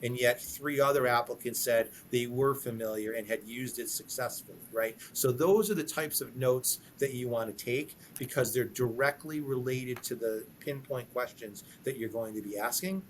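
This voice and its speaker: A man's voice: mid-range voice, normal speed, hardly any pauses, slight background noise. A man speaking conversationally as a podcast guest lawyer, emphatic, repeating himself and acting out sample questions and answers. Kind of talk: conversational advice in a podcast interview.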